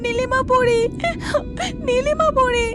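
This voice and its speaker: A woman's voice: extremely high pitch, fairly even intonation, slow pace, music in the background. A woman voicing a timid, earnest young fairy girl disguised as a mermaid in a children's cartoon. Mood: frightened, pleading.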